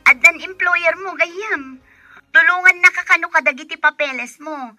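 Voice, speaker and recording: A woman playing a bright Ilocano friend. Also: very high voice, normal speed, soft background music, exaggerated voice. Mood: reassuring. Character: friend abroad, teacher turned helper. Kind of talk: radio drama acting.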